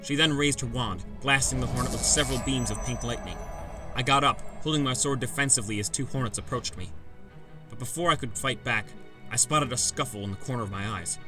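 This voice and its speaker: A man's voice: medium pitch, sharp tone. A man giving dramatic scripted first-person narration for a fantasy audiobook. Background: background music.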